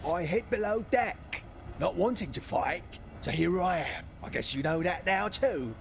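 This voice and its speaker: A man's voice: sits high, lively intonation, measured pace, gravelly, heavy background noise, exaggerated voice. A man voicing a timid, chatty and guileless deckhand in a video game. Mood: chatty, sheepish. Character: chatty, timid deckhand who avoids fighting. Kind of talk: video game cutscene acting. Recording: loud music behind the voice.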